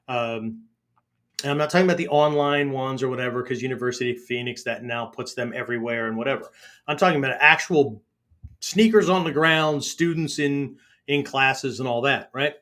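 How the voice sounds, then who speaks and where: Middle-aged pennsylvania guy rambling on his podcast, says right? a lot, mid-pitched voice. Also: normal speed, fairly bright tone. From Pennsylvania, United States. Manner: keeps tagging "right?" and restarting phrases. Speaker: podcast host and martial arts teacher. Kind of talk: rambling casual monologue.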